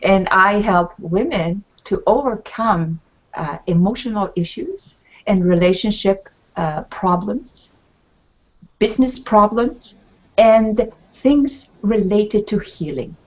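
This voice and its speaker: Slow-speaking Swedish woman mentor. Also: medium pitch, dull audio. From Sweden. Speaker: life and business mentor, host. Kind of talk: scripted show intro. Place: home in Canada. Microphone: video call.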